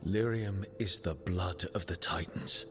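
An actor playing a steady, empathetic leader in a fantasy game, speaking in a measured, gentle way. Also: male voice, low voice, music in the background. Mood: thoughtful. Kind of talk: dramatic game cutscene dialogue.

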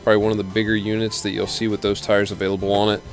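A low-voiced man narrates his stroll through a big indoor exhibition hall in an easygoing, plain-spoken way. It sounds like a handheld camera mic, and faint music plays underneath. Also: level tone, rapid-fire. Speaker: channel host. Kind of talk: casual walkthrough commentary.

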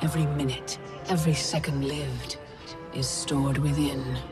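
An actress playing an imperious, scheming goddess of time in a video game, speaking in a grand, measured, commanding way. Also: female voice, rumbling low, steady tone. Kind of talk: cinematic video game acting. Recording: loud music behind.

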